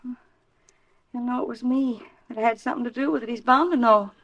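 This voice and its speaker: An actress playing an anxious, pleading wife in a 1950s radio drama, speaking hesitantly and earnestly. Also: female voice, a little high, moderate pace, fairly bright tone. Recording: dull vintage 1950s radio recording.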